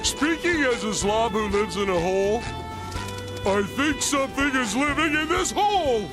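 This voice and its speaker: Goofy dim-witted cartoon sidekick; lively swings in pitch, carefree. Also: male voice, normal speed. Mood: dopey. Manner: dopey, guileless. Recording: game music under the voice.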